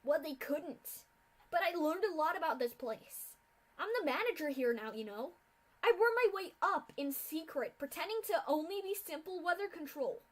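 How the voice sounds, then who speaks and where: A bitter adult pegasus mare lashes out in seething fury, as a woman reading a dark story acts her out. Her voice sits high and climbs into shouted hate. She is cold and unforgiving. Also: moderate pace. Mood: bitter, furious. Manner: screaming in rage, then cold and flat.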